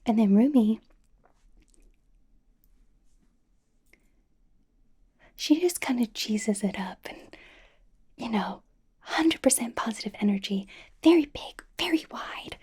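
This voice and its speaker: A woman playing a bubbly, anxious, caring young K-pop idol in an ASMR roleplay, with an American accent, a soft breathy whisper and a chatty, rambling, reassuring way of talking. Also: fairly high pitch, lively intonation, measured pace, full character voice. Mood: rambling, sheepish. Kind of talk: ASMR personal attention roleplay.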